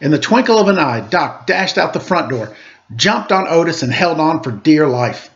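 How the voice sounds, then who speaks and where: A man's voice: a little high, expressive, normal speed. Man reading a storybook, dull lo-fi sound. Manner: dramatic read-aloud, acting out sound effects and dialogue. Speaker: storyteller reading a children's book aloud. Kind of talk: expressive storybook narration.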